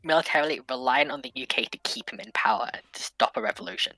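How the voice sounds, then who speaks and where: A woman's voice: rumbling low, quick pace, a crowd in the background, low-quality recording. A woman chatting casually and debating history as a co-panelist in a discussion.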